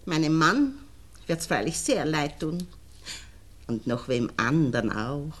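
An actress playing a nosy, falsely friendly married neighbour with a Viennese accent, chatty and insinuating, in a 1960s radio play. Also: female voice, low voice, animated, takes it slowly, full character voice. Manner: chatty, insinuating, colloquial dialect.

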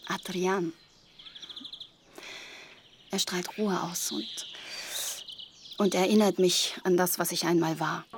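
An actress playing a disillusioned, melancholy widow back from exile in a German radio crime drama, speaking wistfully. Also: female voice, on the low side, steady tone, slow pace, long pauses.

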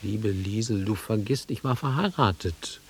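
A man's voice: fairly low pitch, measured pace, slightly rough. An actor playing an awkward, sentimental middle-aged man in a German radio drama, self-deprecating and swinging between murmurs and outbursts. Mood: rueful.

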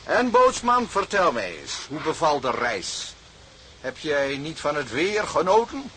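An actor playing an older, thrifty sea captain in a radio drama, speaking in a measured, paternal way with hums and repeated murmurs. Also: male voice, a little high, big pitch swings, pushed and bright, a little hiss or hum, heavily acted. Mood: hospitable, frugal.

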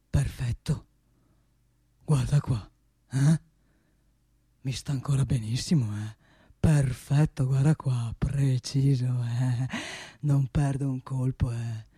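A woman's voice: extremely low pitch, mellow, husky, no echo, clean studio sound, full character voice. A woman playing an ageing, wealthy male boss in a comic radio monologue, self-satisfied and domineering, rambling and repeating with little questioning tags. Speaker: actor in a comic radio play.

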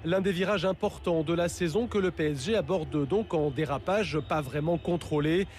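Male sports reporter, fairly high voice, scripted, slight background noise. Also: normal speed, a little mellow.

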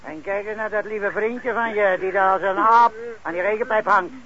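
A man's voice: sits high, steady tone, moderate pace, pushed and bright. An actor playing a mocking, sarcastic policeman in a radio drama. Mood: taunting. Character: sardonic, taunting policeman in charge. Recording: dull old radio recording.

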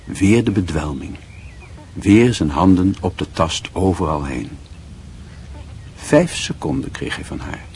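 An actor narrating a literary radio drama in a measured, wry and sombre manner. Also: male voice, sits low, sing-song, gravelly, darkish tone, a little hiss or hum, exaggerated voice.

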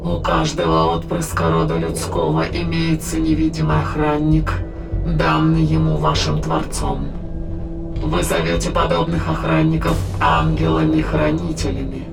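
A man playing a cold alien intruder that inhabits a dead body, speaking slowly and formally with a gravelly, creaky voice in an audio drama. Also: fairly high pitch, big pitch swings, over music, heavily acted. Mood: cold, menacing. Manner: slow, formal, measured speech.

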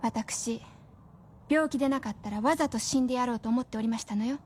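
An actress playing a gravely ill, devoted wife in a radio drama, serene yet eager, with genteel old-fashioned polite speech. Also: female voice, on the high side, a little fast, a little mellow, a little hiss or hum, natural voice. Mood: eager, fervent.

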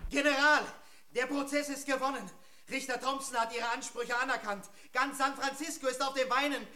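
An actor playing an excited messenger with triumphant news in a radio drama. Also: male voice, extremely high pitch, moderate pace, heavy background noise. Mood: jubilant. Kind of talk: theatrical radio drama acting.

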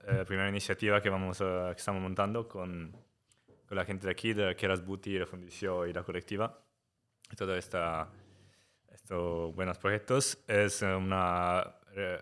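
Deep-voiced man with an Italian accent. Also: a bit bright. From Italy. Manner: halting, searches for words, many fillers. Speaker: guest, cooperative member. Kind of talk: casual podcast conversation.

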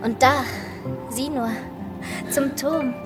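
High-voiced, exuberant young baroness. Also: female voice, fairly even intonation, slow, distant mic, music in the background. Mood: teasing, dreamy. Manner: exuberant, pleading, poetic, theatrical. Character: lively, playful, romantic young baroness, teasing and devoted. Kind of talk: German radio play acting.

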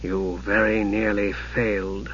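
A man voicing a cold, disdainful mage in a slow, quiet, menacing manner, as in a fantasy audiobook. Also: fairly low pitch, flat delivery, breathy edge, highs cut off, voice pushed down, exaggerated voice. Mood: cold, grave. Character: frail male mage, cold, disdainful, thinly amused.

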